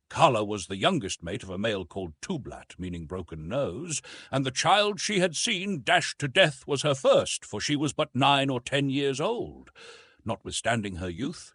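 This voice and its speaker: A man narrating a classic adventure story as an audiobook, in a measured, dramatic storytelling manner. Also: medium pitch, fairly bright tone, breathy edge, no echo.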